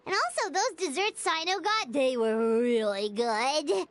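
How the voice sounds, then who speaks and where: A woman voicing a tiny, cheerful fairy companion in a game, with a squeaky childlike voice and excitable manner. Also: measured pace, no room sound. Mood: content. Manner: excitable, refers to self in third person. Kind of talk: animated game voice acting.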